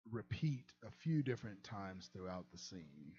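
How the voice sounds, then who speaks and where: A man's voice: low-pitched, very animated, a little slow, mellow, dull audio, slight background noise. A young man chatting casually on a livestream about modding a game, rambling and chuckling at himself. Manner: rambling, chuckling, self-deprecating. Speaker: game modder and streamer.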